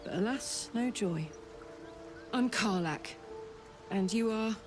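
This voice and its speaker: A woman's voice: medium pitch, slow pace, over music, natural voice. An actress playing a brash, fierce female warrior escaped from hell in a video game, blunt, sweary and informal in manner. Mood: friendly, frank. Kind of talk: video game character acting.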